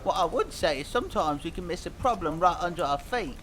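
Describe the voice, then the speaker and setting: A man plays a young cartoon band singer with a notably high voice. He is earnest and a bit dim, rambling hesitantly and naively as he answers interview questions. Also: lively intonation, fairly quick, a bit bright, a little hiss or hum. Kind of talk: scripted mock interview, fan dub.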